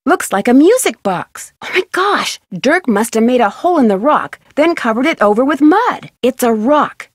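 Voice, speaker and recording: Young female detective for adventure game: American, eager, wholesome, very lively intonation, dry close sound. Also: sits high, normal speed, few pauses, dry recording. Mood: delighted. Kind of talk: scripted video game voice acting, thinking aloud.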